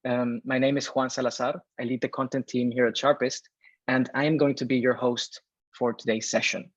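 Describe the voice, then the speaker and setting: A man's voice: mid-range voice, level tone, moderate pace, no room sound. A man from Venezuela in his thirties, hosting a live webinar over a video call, chatty and full of fillers as he reads out chat messages. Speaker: webinar host. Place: home or office room in Berlin. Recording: dull, dry video call audio.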